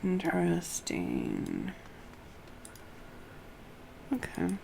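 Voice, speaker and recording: Female game streamer, slow and deliberate read-aloud narration with muttered commentary. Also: lowish voice, wildly swooping pitch, vocal fry, darkish tone, slight background noise. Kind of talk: reading game dialogue aloud on a livestream.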